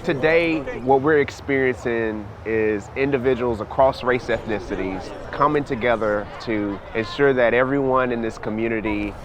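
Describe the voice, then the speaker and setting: A man's voice: mid-range voice, normal speed, hardly any pauses, a crowd in the background. A man speaking formally and composedly in an outdoor interview. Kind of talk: interview answers. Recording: noisy outdoor recording. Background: outdoor street noise.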